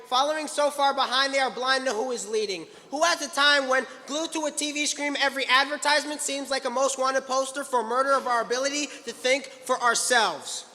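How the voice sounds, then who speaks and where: A man's voice: very high-pitched, sharp tone. A teenage man performing spoken word poetry with a punchy, rhythmic delivery. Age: teens. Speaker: slam poet. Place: auditorium stage. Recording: reverberant hall.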